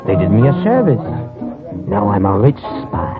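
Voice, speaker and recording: An actor playing a suave, wry wartime spy in a 1950s radio drama, speaking in a sly, measured way. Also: male voice, on the low side, wildly swooping pitch. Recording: tinny old radio broadcast with music.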